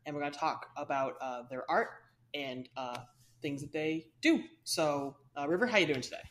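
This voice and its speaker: A woman hosting a podcast, opening the episode in an upbeat, casual way. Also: deep voice. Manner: upbeat, quick, filler-heavy. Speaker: podcast host.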